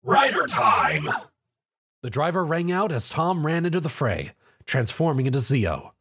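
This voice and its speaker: A man's voice: fairly high pitch, big pitch swings, moderate pace, husky. A man narrating a scripted story as a dramatic reader. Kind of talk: scripted narration, dramatic fan fiction reading.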